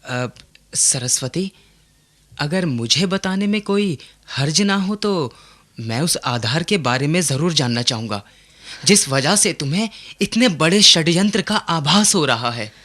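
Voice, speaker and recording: An actor playing a concerned, sensible young friend who questions and counsels in earnest, formal diction in a radio play. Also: male voice, a little high, animated, unhurried, pushed and bright, exaggerated voice. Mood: alarmed, curious. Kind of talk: Hindi radio play acting.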